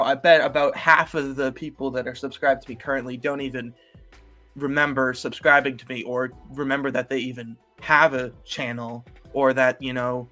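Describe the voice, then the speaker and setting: A man's voice: medium pitch, pushed and bright. An eighteen-year-old man talking casually to his channel's viewers, rambling with many fillers and restarts. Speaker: YouTuber. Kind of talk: casual solo vlog talk.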